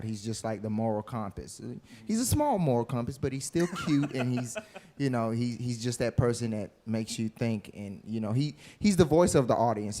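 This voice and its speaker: A young man, an actor in a casual interview, joking playfully and telling stories in an animated way. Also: mid-range voice. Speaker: film actor being interviewed.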